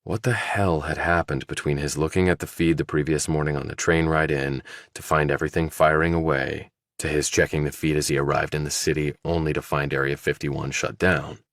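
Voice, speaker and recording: A man's voice: extremely low pitch, level tone, a little fast, hardly any pauses, fairly bright tone, dry recording, studio quality. A man reading a scripted thriller audiobook as its narrator.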